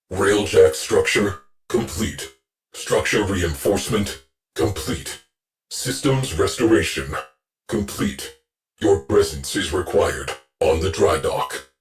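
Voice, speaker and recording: An actor playing a grave male ship AI who gives clipped, measured military reports in a video game. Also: deep voice, expressive, faint music behind. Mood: steady. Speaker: ship's command AI.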